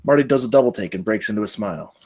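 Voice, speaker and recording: Fast-talking low-voiced man narrating. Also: lively intonation, a little mellow, husky. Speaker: narrator reading stage directions. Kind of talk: scripted narration at a table read.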